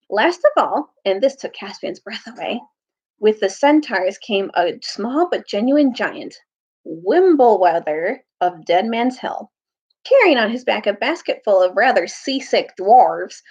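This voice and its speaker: A woman reads a children's novel aloud with a very animated, swooping delivery. Her voice has a husky edge and she sometimes rereads a line. The audio is a little dull and lo-fi. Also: fairly high pitch. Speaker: storyteller reading aloud to students.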